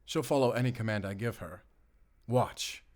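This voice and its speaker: An actor playing a mysterious, protective and wry German-speaking werewolf hunter in an intimate audio roleplay, soft-spoken and teasing. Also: male voice, mid-range voice, normal speed, natural voice. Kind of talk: cinematic audio roleplay, intimate bedtime storytelling.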